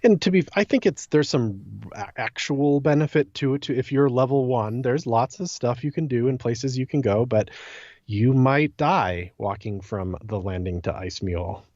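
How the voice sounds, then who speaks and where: A man's voice: medium pitch, expressive, moderate pace, a little mellow, dry recording, dull audio. A man co-hosting a podcast, chatting casually about a game and repeating phrases for emphasis. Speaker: podcast co-host.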